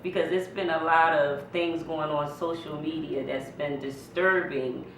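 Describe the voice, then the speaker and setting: Very low-pitched American woman, echoey room. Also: steady tone, unhurried. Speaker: interviewer. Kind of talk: casual conversational interview. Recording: echoey room, distant mic, background noise.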